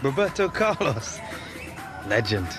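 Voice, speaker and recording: An actor playing an easygoing, ambitious young footballer in a video game cutscene. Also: male voice, mid-range voice, sing-song, measured pace, over music, a crowd in the background. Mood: relaxed, dreamy. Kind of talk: video game cutscene acting, casual banter.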